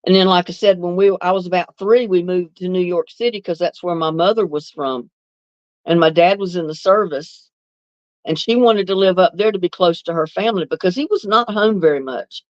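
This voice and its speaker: A woman's voice: lowish voice, brisk, no room sound. An older woman from North Carolina, chatting casually on a livestream and reading viewer comments in a homey way, laughing often. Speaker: livestream host. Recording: dull, lo-fi dry sound.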